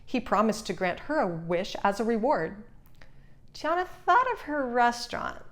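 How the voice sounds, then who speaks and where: A woman reads a children's storybook aloud. Her pitch sits in the middle for a woman, and she delivers scripted narration. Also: sing-song, measured pace. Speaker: storybook reader.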